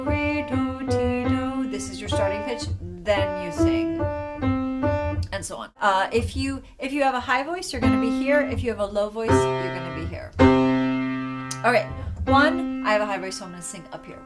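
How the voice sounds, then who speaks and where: A woman teaching a singing exercise, calmly explaining and then singing solfège steps with a piano. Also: mid-range voice. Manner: relaxed explaining, then sings solfège scales along with piano. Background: piano playing.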